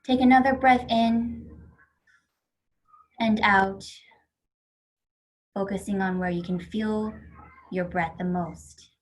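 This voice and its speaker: A woman leading a guided meditation with calm, soothing instructions. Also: medium pitch, takes it slowly, pauses often, poor audio.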